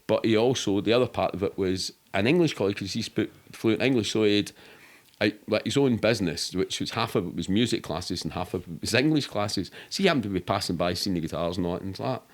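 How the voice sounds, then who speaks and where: A middle-aged man with a Scottish accent speaks in a fairly low voice. He tells stories as a guest in a casual interview, rambling along in everyday colloquial speech with some swearing. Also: fast. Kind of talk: casual anecdotal storytelling.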